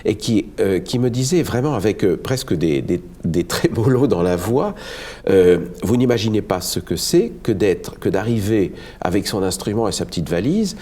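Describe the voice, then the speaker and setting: A very deep, husky male voice that moves through a wide, expressive melody. He talks as a guest musician from Le Havre, earnest and reflective. He often pauses and restarts his phrases as he searches for the right word. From France, Le Havre. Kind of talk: studio cultural discussion.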